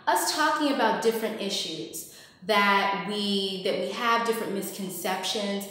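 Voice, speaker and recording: An upbeat woman host speaks straight to her viewers, welcoming them in. Her voice rings in a bright living room with a strong echo and a long reverb tail. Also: mid-range voice, slight background noise. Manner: lively, welcoming, addresses viewers directly. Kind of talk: upbeat show introduction. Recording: echoey room.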